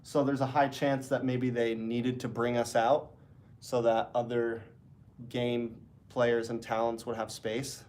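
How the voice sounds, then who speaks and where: A man with a stuffy, congested nose talks to his stream in a loose, rambling way. He sounds relaxed and chatty, drifting from one thought to the next as he speaks from a hotel room. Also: medium pitch, unhurried. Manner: rambling, relaxed, talking to chat. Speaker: esports caster. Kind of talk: casual livestream chat.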